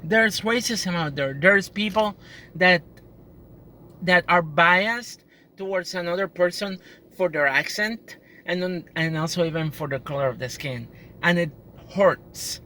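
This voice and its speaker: A woman's voice: fairly low pitch, a little slow. A woman from Puerto Rico with a Puerto Rican accent, talking earnestly and with frustration in a personal monologue, often restarting her sentences. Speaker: customer service worker. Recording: loud traffic noise outdoors.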